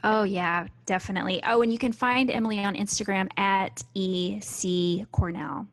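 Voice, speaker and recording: A woman co-hosts a podcast with a fry-edged voice, recorded close and dry. Her delivery is conversational, informal and keen. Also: medium pitch, fairly even intonation, normal speed, dry recording. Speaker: podcast co-host.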